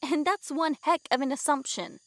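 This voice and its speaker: A woman's voice: high voice, moderate pace, fairly bright tone, dry recording. A woman playing a skeptical, blunt young-at-heart wildling adventurer in a fantasy audio drama.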